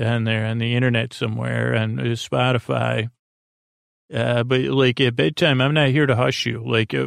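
Creaky gravelly guy doing a soothing rambly bedtime podcast, halting and kinda whimsical, really dry close sound. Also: medium pitch, no echo. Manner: meandering, halting, trails off mid-thought. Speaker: podcast host. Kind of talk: rambling bedtime monologue to the listener.

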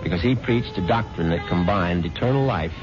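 A man's voice: low voice, fairly quick, natural voice. An actor playing a wry, self-satisfied idle husband who narrates his own story in a dry, urbane way in a 1970s radio drama. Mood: wry narrating. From born in London, moved to the United States when young (character). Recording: dull lo-fi 1970s radio broadcast, loud music.

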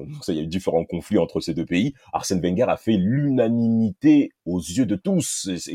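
A man with a very low, dark voice, recorded close and dry. He talks as a podcast panelist, pushing his opinions forward haltingly and repeating words for emphasis. The sound is a little husky. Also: no echo.

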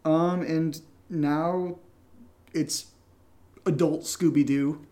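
Wisecracking American man, highish voice. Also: fairly even intonation, drawn-out words, mellow. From Connecticut, United States. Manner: irreverent, wisecracking. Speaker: podcast co-host and audio drama writer. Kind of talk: casual unscripted Q&A chat.

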